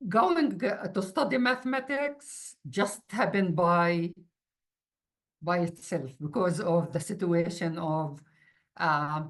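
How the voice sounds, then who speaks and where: A middle-aged woman, a native Arabic speaker with an Arabic accent, gives an informal interview account in a halting way, searching for words. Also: on the low side. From Palestinian refugee from the Middle East. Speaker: university mathematics instructor.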